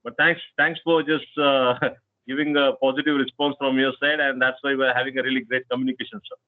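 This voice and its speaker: A man's voice: mid-range voice, little pitch movement, fairly quick, no echo. A man hosting a casual English practice chat, talking hesitantly with many fillers and tag questions. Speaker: channel host, conversation partner. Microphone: online call. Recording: tinny dry phone-like call line.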